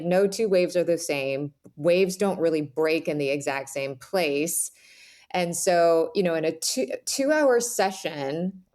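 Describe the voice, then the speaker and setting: A woman's voice: lowish voice, a little mellow. A woman speaking as a podcast guest, reflective and conversational, with casual phrasing.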